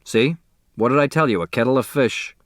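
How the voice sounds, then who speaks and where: Narrator doing a grumbling, hungry, sardonic husband. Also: male voice, mid-range voice, a little fast, dry recording, studio quality, lightly acted. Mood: wry grumbling. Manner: grumbling, wry.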